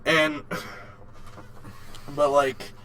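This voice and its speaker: A man's voice: fairly high pitch, monotone, extremely slow, sharp tone. A man co-hosting a casual podcast, talking informally with repeated words and some swearing. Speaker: podcast co-host. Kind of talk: casual chat about video games and films.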